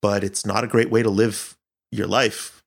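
A man's voice: fairly low pitch, little pitch movement, moderate pace, creaky. A man answering interview questions as an audio director, speaking earnestly and thoughtfully, often searching for his words.

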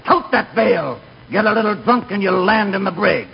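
Creaky, high-pitched old sea captain. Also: male voice, expressive, moderate pace, exaggerated voice. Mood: bullying. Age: older. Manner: salty nautical talk, blustering. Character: gruff, cruel old sea captain, salty and tyrannical. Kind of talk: 1940s radio comedy acting. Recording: dull, noisy 1940s radio recording.

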